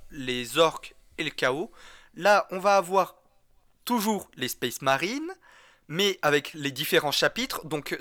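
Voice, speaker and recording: Male, high sharp voice, geeky enthusiast co-hosting a casual gaming podcast. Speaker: co-host of a video game podcast.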